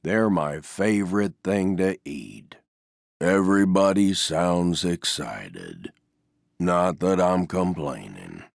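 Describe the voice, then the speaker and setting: A man voicing a gloomy, resigned, self-effacing stuffed donkey for a video game, with a mournful, deadpan, sighing delivery. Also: deep voice, slow, gravelly, dry recording, studio quality, heavily acted. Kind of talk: video game character voice lines.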